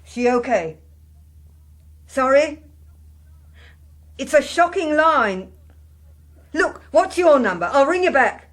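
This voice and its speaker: A woman's voice: high-pitched, animated, slow pace, pauses often. An actress playing an offhand female office worker in a British radio drama. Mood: concerned.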